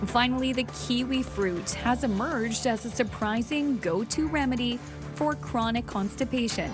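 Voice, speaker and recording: A woman reading a scripted health news segment in a crisp, measured way. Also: fairly high pitch, music in the background. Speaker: health reporter.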